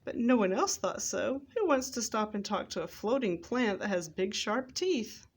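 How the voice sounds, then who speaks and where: A woman's voice: mid-range voice, expressive, moderate pace, husky. A woman narrating a children's story aloud from a script, expressively, with the odd stumble over the text. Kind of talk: scripted read-aloud narration for readers theater.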